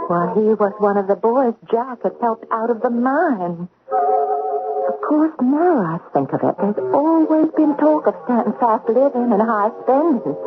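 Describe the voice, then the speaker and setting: A woman playing a fretful, respected frontier widow in an old-time radio drama, exclaiming and repeating herself anxiously. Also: on the high side. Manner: fretful, breathless repetitions and exclamations. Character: flustered, respected frontier widow running a post office. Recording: narrow-band radio drama, music behind.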